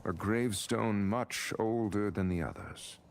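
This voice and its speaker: A man's voice: fairly low pitch, a little slow, gravelly, a little hiss or hum. A male actor playing a grim, resolute wandering warrior in a fantasy game, with a terse, brooding delivery. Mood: brooding, observant. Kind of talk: scripted fantasy game voice acting.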